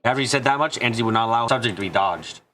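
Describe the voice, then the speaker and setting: A man's voice: medium pitch, rapid-fire, pushed and bright, a little hiss or hum. A man narrating a story in an amateur fan dub. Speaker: streamer reading a translation. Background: background music.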